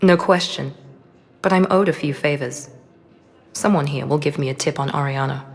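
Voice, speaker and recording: An actress playing a poised, guarded former operative in a video game, speaking in a controlled, understated way with quiet vulnerability. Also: female voice, deep voice, moderate pace, creaky, big-room sound. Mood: resolute. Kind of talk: dramatic video game acting.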